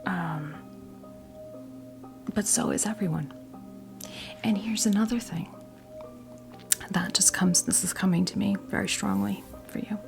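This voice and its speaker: An American woman in her fifties giving a tarot reading as ASMR role play, whispering softly in a halting, gently reassuring way. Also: mid-range voice, over music. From New Jersey, US. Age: 50s. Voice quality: whispered, breathy soft voice. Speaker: ASMR performer playing a tarot reader.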